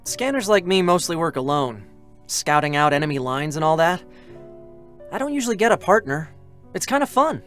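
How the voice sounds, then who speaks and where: A man's voice: fairly high pitch, big pitch swings, normal speed, fairly bright tone. An eager, boyish android scout, light and youthful for a male voice. He talks earnestly and openly, with a hesitant, emotional streak, in a dramatic game-story reading over music. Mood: friendly.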